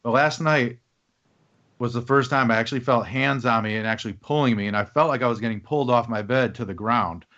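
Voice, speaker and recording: A man's voice: medium pitch, a little fast, a bit bright. A man hosting a podcast, talking casually and rambling with fillers. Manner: rambling, with fillers and back-channel murmurs. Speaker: podcast host. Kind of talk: casual conversation. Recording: dull lo-fi podcast audio.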